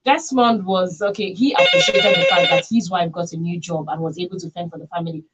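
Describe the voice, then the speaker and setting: A woman's voice: fairly low pitch, steady tone, brisk, muffled recording, heavy background noise. A Nigerian woman hosting a live radio call-in show from a studio.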